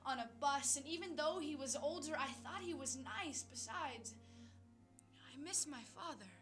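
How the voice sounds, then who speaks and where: Female spoken word poet, high-pitched and bright, earnest dramatic rhythmic delivery, music underneath. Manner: earnest, dramatic, rhythmic rhymed delivery with emphatic swells and hushed drops. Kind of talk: slam poetry performance.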